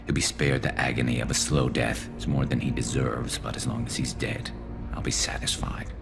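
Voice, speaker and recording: Game role: grim alien vigilante, rock-bottom pitch, creaky growl, terse, dry menace. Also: male voice, little pitch movement, normal speed, over music. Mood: cold resolve. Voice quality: very deep, gravelly. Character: vengeful, gravel-voiced alien ex-cop vigilante, male, grimly resolute. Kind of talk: video game voice acting.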